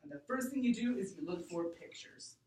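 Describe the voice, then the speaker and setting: A woman teacher reading aloud to a class and explaining deliberately and expressively, thinking out loud. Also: mid-range voice, lively intonation. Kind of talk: read-aloud lesson to a class. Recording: echoey room, distant mic.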